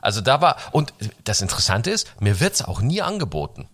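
A young man hosts a casual podcast chat and jokes around in slangy speech. His pitch swings widely and his tone is bright, though he has a cold. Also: a little fast. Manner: animated, jokey, slangy. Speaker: podcast host.

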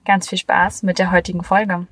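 A woman's voice: fairly low pitch, level tone, normal speed, heavy background noise. A woman hosting a podcast, asking her guest friendly, encouraging questions in casual talk. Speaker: podcast host.

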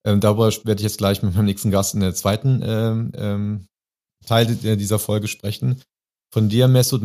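Male interview host, lowish voice, loosely scripted moderation with wordy, winding phrasing. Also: darkish tone. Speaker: podcast host. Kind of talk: semi-spontaneous interview moderation.

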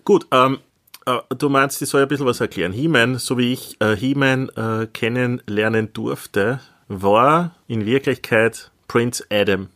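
A man's voice: mid-range voice, measured pace, fairly bright tone. A middle-aged Austrian man chatting casually as a podcast co-host, reminiscing in an easy back-and-forth.

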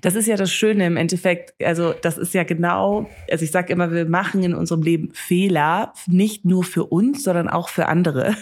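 Husky bilingual woman from Namibia. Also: on the low side, normal speed. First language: bilingual German and English. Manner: animated, thinks aloud with restarts and English phrases. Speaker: podcast host, life coach. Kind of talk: casual conversation.

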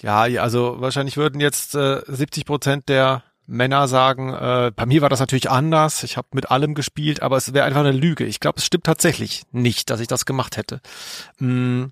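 Creaky-voiced man, close and dry. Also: mid-range voice, steady tone, normal speed, a bit bright, dry recording. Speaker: podcast co-host. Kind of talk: casual chat.

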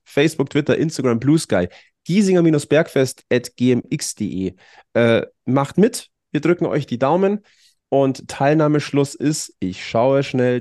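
A man hosting a casual podcast, a little hoarse from a cold, chatty and upbeat with frequent filler words. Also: medium pitch, darkish tone, dry recording, studio quality. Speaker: podcast host.

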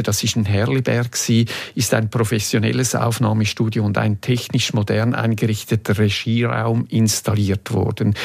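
A man's voice: medium pitch, creaky, no echo, clean studio sound. A man with a Swiss German accent hosting a conversational interview, speaking reflectively and searching for his words. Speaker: interviewer, host. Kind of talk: conversational interview about radio history.

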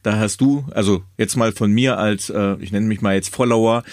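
A man's voice: lowish voice, normal speed, darkish tone, no echo, clean studio sound. A man hosting a podcast interview, chatty and hesitant, with many fillers as he asks questions. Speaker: podcast host.

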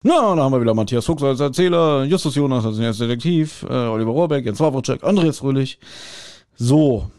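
A man's voice: mid-range voice, animated, brisk, a little mellow, no room sound, clean studio sound. A middle-aged man co-hosting a podcast, reviewing audio drama in a casual, colloquial and jokey way. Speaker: podcast host.

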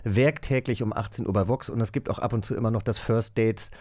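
A man's voice: on the low side, fairly even intonation, a little fast, a little mellow, no echo, studio quality. A man co-hosting a podcast, chatting casually and with enthusiasm. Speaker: podcast co-host. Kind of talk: casual chat.

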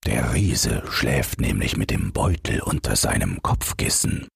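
A man voicing a courteous, coaxing fairy tale king in a storybook reading, husky and gravelly, with a measured courtly manner. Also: very deep voice, wildly swooping pitch, no room sound, clean studio sound, voice pushed down, full character voice.

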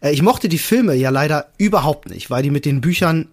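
Higher-voiced man in casual podcast chat. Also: expressive, a little fast. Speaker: podcast co-host.

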